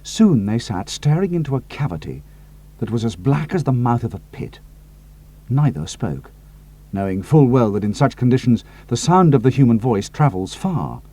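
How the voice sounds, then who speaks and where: A male narrator reads an adventure story at a measured pace. His voice is warm and mellow, with an animated, wide-ranging pitch that gives each sentence shape. The delivery is deliberate and expressive. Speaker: audiobook narrator. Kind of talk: scripted dramatic narration.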